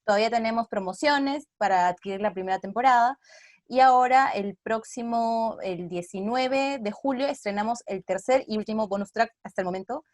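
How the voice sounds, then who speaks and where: A Peruvian woman speaks as a guest in a casual interview. Her voice sits a little high and is recorded very dry. She explains herself earnestly, in a conversational way. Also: moderate pace, a little mellow, dry recording. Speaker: theater artist, interview guest. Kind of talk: casual video-call interview.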